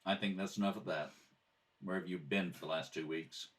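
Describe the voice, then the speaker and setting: A man playing a terse, unflappable police detective in an old-time radio crime drama, deadpan and clipped. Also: sits low, a little fast. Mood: stern, deadpan.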